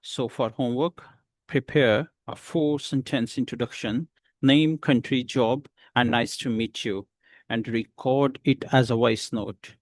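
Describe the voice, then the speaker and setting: A man teaching a beginner lesson, explaining slowly and patiently with many pauses. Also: medium pitch, fairly even intonation, no room sound. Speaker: English teacher.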